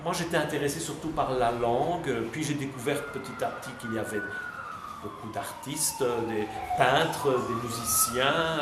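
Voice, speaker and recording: A man talking freely as a visiting guest before a class, chatty and hesitant, full of fillers and restarts. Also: on the high side. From Belgium. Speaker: visiting guest answering students. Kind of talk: relaxed spontaneous talk. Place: high school classroom. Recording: echoey classroom with background noise.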